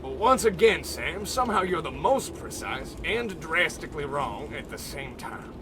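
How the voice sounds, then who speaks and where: A man's voice: fairly high pitch, animated, normal speed. An actor playing a grumpy, pedantic investigator in an old-time radio comedy, grumbling as he goes. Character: grumpy, exacting male investigator on the road. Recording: clear car engine noise behind. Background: driving vehicle sound effect.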